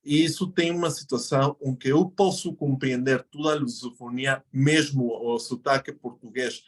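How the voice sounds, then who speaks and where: A man from Mexico, a native Spanish speaker, chats as an interview guest. His voice sits at an ordinary middle pitch. He explains his points patiently, pausing and correcting himself along the way. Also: steady tone. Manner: explanatory, thinks aloud with pauses and self-corrections. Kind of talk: casual interview conversation.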